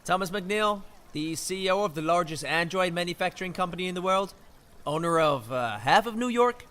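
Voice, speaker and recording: A man voicing a cocky, excitable young street hooligan who talks in slang for an audiobook. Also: sits high, a little fast, voice pushed up. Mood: incredulous. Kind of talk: audiobook character voice.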